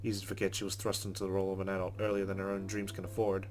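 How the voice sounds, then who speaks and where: A man reading visual novel narration aloud as a let's player, measured and reflective, with music playing behind him. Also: low-pitched, completely flat delivery, slight background noise.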